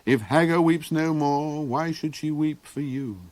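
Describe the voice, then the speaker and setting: A man's voice: on the high side, unhurried, darkish tone, no room sound, lightly acted. A man voicing an earnest, determined young prince.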